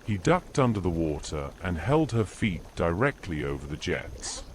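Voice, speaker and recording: A man's voice: deep voice, sing-song, normal speed, background noise. A man narrating the scene in scripted, descriptive storytelling.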